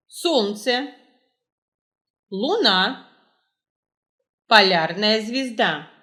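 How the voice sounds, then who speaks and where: A woman hosting a quiz, reading scripted questions and answers aloud with deliberate, measured enunciation. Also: mid-range voice, sharp tone. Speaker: quiz host, librarian.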